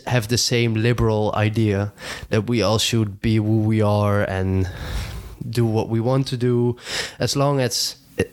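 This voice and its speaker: A Dutch man in his twenties with a southern Dutch accent, a guest chatting casually in a podcast interview and rambling through fillers and restarts. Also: fairly low pitch. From Netherlands. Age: 20s.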